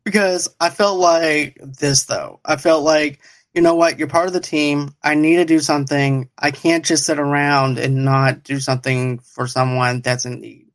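A man's voice: on the high side, fairly even intonation, moderate pace. A man hosting an online pop culture show in casual chat, talking in a rambling, repetitive way.

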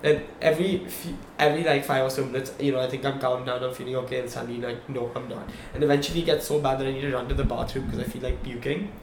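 A man's voice: medium pitch, fast talker, hardly any pauses. Podcast co-host, young male, chatty storyteller, roomy echo. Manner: animated storyteller, checks in with "okay? right?". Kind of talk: casual storytelling chat. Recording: echoey room, faint background hum.

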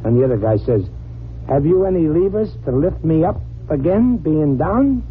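An actor playing a naive, good-natured, slangy cab driver in 1940s radio comedy-mystery style. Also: male voice, on the high side, animated, moderate pace, natural voice. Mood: amused, naive. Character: dim, good-natured, streetwise cabbie, a man. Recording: tinny, noisy old radio recording.

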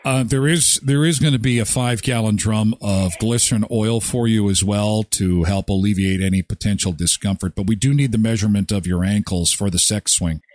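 A straight-faced male hotel clerk played by a radio prankster handles a confirmation call. He is polite and officious, pausing and hesitating as he goes through details. The sound is dry and close. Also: lowish voice, darkish tone, no echo, natural voice.

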